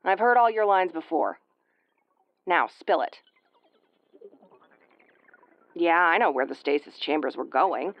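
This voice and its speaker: A woman's voice: lowish voice, lively intonation, normal speed, no room sound, close to an everyday voice. A tough tomboyish starship commander, played by a woman in a sci-fi audio roleplay. She is brusque and prickly with a caring streak, tripping over words when flustered. The sound is very dry and slightly muffled. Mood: guarded, teasing. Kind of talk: intimate audio roleplay acting.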